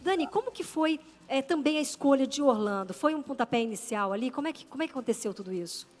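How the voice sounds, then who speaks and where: Uma apresentadora brasileira conduzindo o programa, entusiasmada e falante. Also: female voice, high-pitched, expressive, quick pace. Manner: enthusiastic, chatty interjections. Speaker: host. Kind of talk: talk show hosting.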